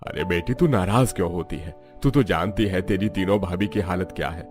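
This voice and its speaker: Man voicing elderly cartoon father, low pitch, firm fatherly manner, music behind. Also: fairly quick, natural voice. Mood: placating. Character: stern but softening elderly head of household. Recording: background music.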